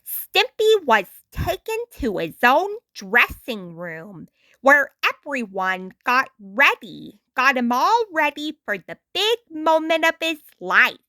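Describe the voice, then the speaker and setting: A woman reads a story aloud at a very slow, deliberate pace. Her voice is bright and swings widely in pitch with dramatic storybook phrasing. The recording is dry and close. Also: sing-song, no room sound, rough recording. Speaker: storytime narrator. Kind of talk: expressive scripted storybook narration.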